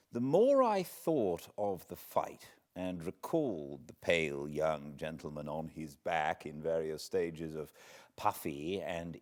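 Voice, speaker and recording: A British man reading classic literature aloud as a narrator, in a measured, dramatic manner with deliberate pauses. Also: low voice, a little mellow. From Britain. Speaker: audiobook narrator, host of fireside readings. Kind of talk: expressive literary storybook reading.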